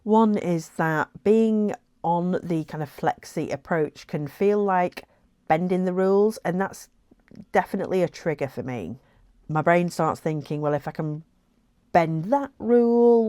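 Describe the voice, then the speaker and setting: A woman talks to her camera about her weight-loss progress, chatty and reflective, with plenty of ums and little laughs. Her voice sits low for a woman. The sound is close and dry, with no room ring. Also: lively intonation, a little slow, dark tone, clear, resonant tone, no echo. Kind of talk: casual talking to camera.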